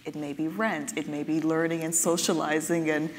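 A woman's voice: sits low, level tone, moderate pace. A woman moderating a panel discussion, asking questions conversationally. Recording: hall reverb, faint noise.

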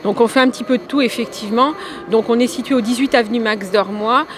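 A woman organizing a wedding fair, answering quickly and enthusiastically into a handheld mic, with many fillers. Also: fairly high pitch, noisy background. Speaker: event organizer and shop owner. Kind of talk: interview answer. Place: indoor exhibition hall.

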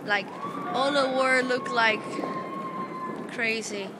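A woman's voice: a little high, steady tone, takes it slowly. A young woman chatting casually into a handheld camera on a city street at night, in non-native English with lots of fillers. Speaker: vlogger filming on the street. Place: outdoors on a city street at night during fireworks. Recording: loud street noise, fireworks bangs. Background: fireworks, traffic and street noise.

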